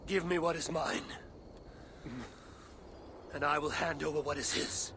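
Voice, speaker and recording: An actor playing a bold, determined Norse god with an imperious, deliberate manner in a video game cutscene. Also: male voice, a little high, steady tone. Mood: resolute. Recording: long reverb, faint background noise.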